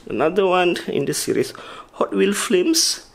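Slow, gravelly-voiced man listing toy cars. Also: high voice, sing-song. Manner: halting, with restarts and listed names. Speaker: diecast collector. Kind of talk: casual toy collection walkthrough.

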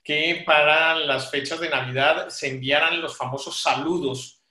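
A man's voice: fairly high pitch, steady tone, unhurried, pushed and bright. A man, speaking formally and articulately as a journalist on a panel by video call. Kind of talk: measured panel discussion. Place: small home office, on a video call. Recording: echoey room over video call.

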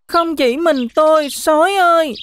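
A woman's voice: high-pitched, unhurried, a bit bright. A woman voicing an earnest, determined young goat in a children's cartoon. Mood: earnest, pleading.

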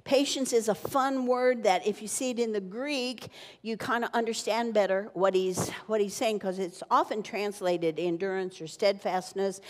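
An older woman pastor preaching a sermon, animated and teaching, with wide gestures on a church stage. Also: medium pitch. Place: church stage with wood-panel wall. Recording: long reverb from church hall.

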